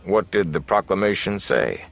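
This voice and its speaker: Man voicing a smooth, low-pitched old-world gleeman. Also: lively intonation, faint music behind. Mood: guarded, probing. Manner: measured, smooth, theatrical when reciting. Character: smooth, shrewd male traveling gleeman and storyteller. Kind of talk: fantasy audiobook character dialogue.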